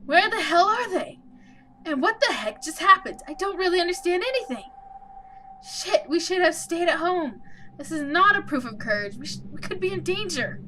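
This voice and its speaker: A woman voicing a sardonic young male protagonist of a visual novel who is quick to worry. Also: very high-pitched, lively intonation, bright, forceful tone, a little hiss or hum, pitched above the performer's own voice, full character voice. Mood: worried.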